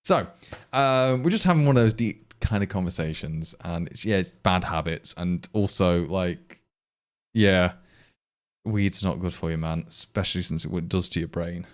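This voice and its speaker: A man's voice: deep voice, normal speed. An English man with an East Midlands accent, chatting casually to stream viewers in a rambling, exasperated way with many restarts. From England. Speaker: livestream programmer.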